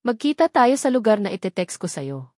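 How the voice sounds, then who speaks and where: A woman's voice: medium pitch, very animated, brisk, a little mellow, no room sound, studio quality, close to an everyday voice. Woman voicing a firm, serious Filipino male investigator. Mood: firm, urgent. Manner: firm, practical, clear. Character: serious, cautious man, a sharp-minded investigator. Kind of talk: dramatic audio storybook dialogue.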